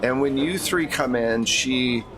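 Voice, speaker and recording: A man's voice: medium pitch, a little slow, crowd noise, poor audio. A man acting as game master, narrating a tabletop role-playing game in an informal, conversational way.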